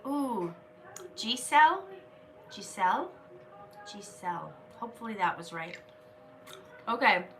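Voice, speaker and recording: A woman's voice: a little high, bright, forceful tone, distant mic, a little hiss or hum, rough recording. A woman hosting a casual live stream, chatting with viewers and reading their comments aloud while she has a cold and keeps coughing.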